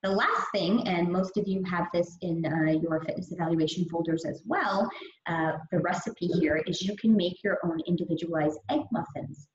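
A woman's voice: fairly low pitch, darkish tone. Chatty woman trainer in an echoey room. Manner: upbeat, chatty, many fillers. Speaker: fitness trainer. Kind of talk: presenting a meal prep talk over slides. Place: gym or studio room. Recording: echoey, dull lo-fi audio with faint hiss.

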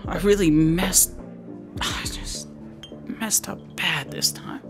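A woman's voice: lowish voice, steady tone, takes it slowly, over music. A woman playing a drunken, remorseful bar patron in a radio drama, heavy-hearted in manner.

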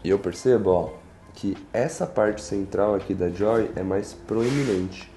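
A man's voice: on the low side, a little slow, warm tone. A young Brazilian man working as a chiropractor, giving a patient reassuring instructions and explaining as he goes. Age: young adult. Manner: reassuring, checking in often. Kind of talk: instructing a patient during treatment, explaining to camera. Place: small indoor treatment room with large windows. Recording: roomy echo, faint music behind.

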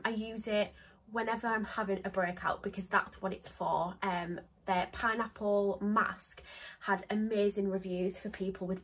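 A woman's voice: mid-range voice, a bit bright, slightly rough. A young woman in her twenties, congested with a cold, chatting to a close phone camera in a small home office, rambling with lots of ums. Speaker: beauty vlogger. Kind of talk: casual chat to camera.

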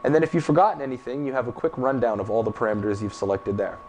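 Man, thirties, relaxed shop talk, mid pitch, workshop, faint hum. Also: very fast. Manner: relaxed, plain-spoken shop talk with fillers. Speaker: welding demonstrator. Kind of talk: casual product demonstration. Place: welding workshop, indoors.

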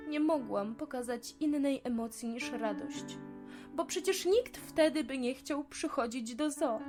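A woman playing a sad, wistful female flamingo star in a children's audio fairy tale, speaking in an earnest, emotive way. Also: high-pitched. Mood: hurt, confiding. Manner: earnest, emotive, slow confiding delivery.

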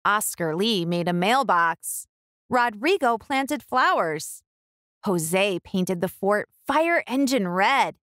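A woman reading a picture book aloud to young children in an expressive storytelling style. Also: medium pitch, dry recording, clean studio sound. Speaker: children's book reader and channel host.

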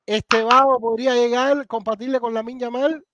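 A middle-aged man with a Cuban accent hosting a casual live stream chat over a video call, lively and warmly addressing his guest. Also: very high voice, normal speed, lo-fi sound. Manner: animated, thanks viewers, calls guest gato and hermano. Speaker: host.

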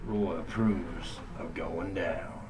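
A man playing a dim, cocky, carefree space adventurer who talks about himself in third person, deadpan, in a comic radio play. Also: deep voice, a little hiss or hum. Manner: slow, deadpan, refers to himself by name.

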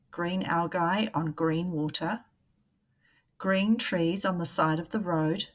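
A woman, a primary teacher reading a picture book aloud to young children in a deliberate, questioning way. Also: lowish voice.

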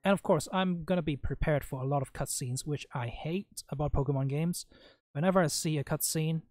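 A man's voice: a little high, brisk, a little mellow, no room sound. A man giving casual, rambling and blunt commentary as he hosts a gameplay video. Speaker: gaming video host playing and commenting.

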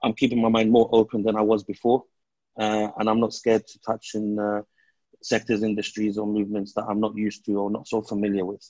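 A man's voice: on the low side, monotone, fairly quick, a little mellow, no echo. A man in his thirties speaking as a panelist on a video call. He rambles and restarts his sentences often. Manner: rambling, with many restarts and fillers. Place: small room with a plain wall. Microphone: earbuds on a video call. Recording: dull video call audio.

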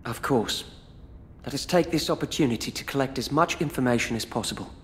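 An actor playing a poised, polite young duke with formal, courtly phrasing in a video game cutscene. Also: male voice, medium pitch, a little fast, a bit bright, lightly acted. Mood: composed, assured. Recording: long hall reverb, background noise.

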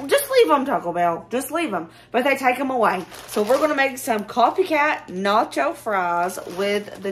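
A hoarse woman with a Southern US accent, chatting casually in her home kitchen as she walks through a recipe step by step. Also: fairly high pitch. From Southern United States. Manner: chatty and relaxed, gives step-by-step directions. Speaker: home cooking vlogger.

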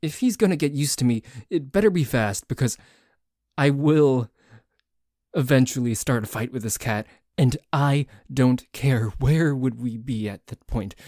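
A man playing a dorky, jealous tsundere boyfriend in an ASMR roleplay, bickering haltingly with a cocky streak. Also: mid-range voice, a little mellow, dry recording, clean studio sound, lightly acted. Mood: irritated, combative. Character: dorky, jealous, grumbling tsundere boyfriend who dislikes a cat.

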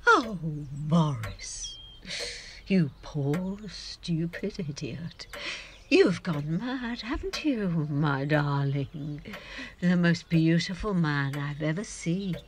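Woman acting a possessive middle-aged woman, wildly swinging pitch. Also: expressive, very slow, slight background noise, exaggerated voice. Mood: adoring, pleading. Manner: dramatic, pleading, swinging from hushed adoration to outbursts. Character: possessive, theatrical ageing actress clinging to her lover. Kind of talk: audio drama acting.

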